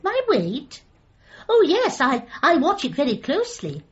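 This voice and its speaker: A slightly muffled radio comedy recording. a timid, middle-aged British woman with a high voice that rises and falls in a lively way. She is a hapless, apologetic dog owner who chats on ruefully. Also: a little slow, exaggerated voice. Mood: sheepish, rueful. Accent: British English. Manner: timid, apologetic, wistfully comic. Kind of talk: radio comedy drama acting.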